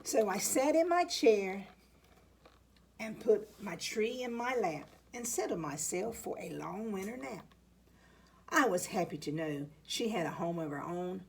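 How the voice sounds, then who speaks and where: A woman reading a rhyming children's picture book aloud, with deliberate, dramatic phrasing for young listeners. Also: mid-range voice, lively intonation, vocal fry, a little hiss or hum. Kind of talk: expressive storybook read-aloud in rhyme.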